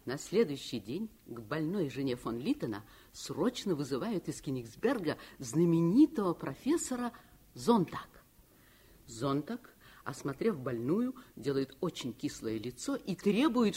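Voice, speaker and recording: Husky, expressive storyteller. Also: female voice, low-pitched, full character voice. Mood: storytelling, tense. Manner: expressive, heartfelt. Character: grown woman narrator recalling childhood, warm and heartfelt. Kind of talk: dramatic narration in Soviet radio play.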